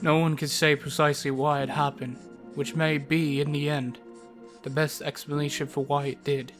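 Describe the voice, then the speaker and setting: Man as a flat-toned, higher-voiced fantasy storyteller. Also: moderate pace, music in the background, lightly acted. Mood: solemn. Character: solemn fairytale storyteller introducing a fantasy tale. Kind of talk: scripted storybook narration.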